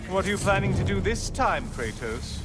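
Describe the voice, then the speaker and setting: An actor playing a mocking, flamboyant character in a video game, theatrical and full of sneering sarcasm. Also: male voice, high voice, very animated, moderate pace, heavy background noise.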